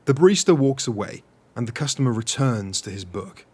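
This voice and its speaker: Earnest director type: mid-pitched, self-serious, reading script directions in an audio comedy. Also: male voice, expressive, a little fast, a little mellow, husky. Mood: narrating. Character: earnest male director leading a script table read. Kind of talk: scripted narration of stage directions in audio drama.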